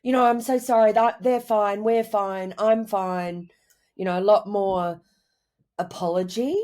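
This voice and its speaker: A woman with a cold, sounding croaky, answering interview questions over a video call in a reflective, rambling way. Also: medium pitch, fairly even intonation, a little slow, a little mellow. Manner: reflective, rambling with many "you know" and "I guess". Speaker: guest, writer and mother. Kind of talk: candid conversational interview answers.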